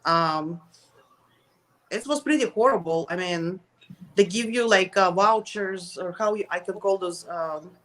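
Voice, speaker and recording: A woman's voice: lowish voice, poor audio. A middle-aged woman from Russia with a Russian accent, answering casually in an interview and sometimes searching for words in English. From Russia, Soviet Union. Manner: halting, searching for words in non-native English. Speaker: interview guest.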